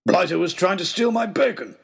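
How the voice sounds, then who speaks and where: Hot-headed baronet explorer, acted by a male narrator: blustering, clipped, a touch husky, for an audio adventure tale. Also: high voice, sing-song, a little fast, fairly bright tone, voice pushed up, full character voice. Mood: gruff, indignant. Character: gruff, hungry, hot-headed baronet on a polar expedition.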